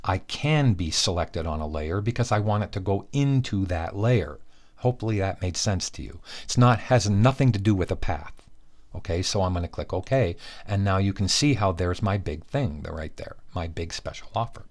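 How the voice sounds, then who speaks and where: A man giving a relaxed screen-recorded software tutorial, chatty and correcting his own slips as he goes. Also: sits low, normal speed. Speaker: software instructor.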